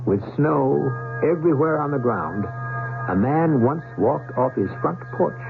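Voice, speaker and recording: A man narrating an old time radio mystery as host, deliberate and portentous. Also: a little high, animated, gravelly. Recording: tinny old radio recording, music behind.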